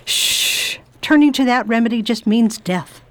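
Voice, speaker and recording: A brisk lady traveler gives orders in a firm, commanding tone in a radio play. Her voice stays even and steady. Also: a little high, no room sound. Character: brisk determined Englishwoman traveler. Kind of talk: radio drama acting.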